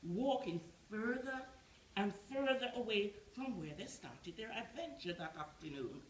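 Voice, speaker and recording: A woman in her seventies telling a bedtime story on stage in an expressive, measured storytelling manner. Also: fairly high pitch. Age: 70s. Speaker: storyteller in an amateur pantomime. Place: small theatre stage. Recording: roomy theatre echo with faint noise.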